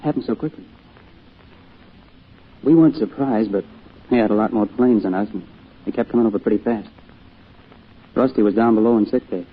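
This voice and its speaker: An American actor playing a young orphaned sailor, earnest, shy and polite, speaking hesitantly in 1940s radio drama style. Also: male voice, lowish voice, a little fast, long pauses, slightly rough. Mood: subdued, grieving. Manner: hesitant, humble, folksy. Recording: tinny, noisy old radio broadcast.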